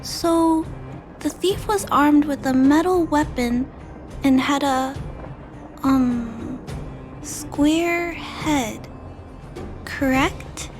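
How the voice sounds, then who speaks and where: A woman voicing a gentle, polite little girl in a video game, earnest and careful as she plays detective. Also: sits high, very slow, long pauses, soft background music. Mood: concerned, careful.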